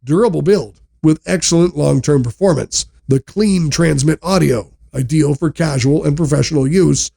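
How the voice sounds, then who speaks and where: A man narrating a scripted product review in a measured, explanatory way. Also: on the high side, gravelly, dark tone, slightly rough.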